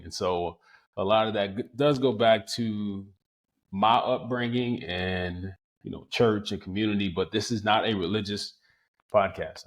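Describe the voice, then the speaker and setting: American male podcast host, low voice, conversational interviewing style. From small town in the United States, outside Los Angeles.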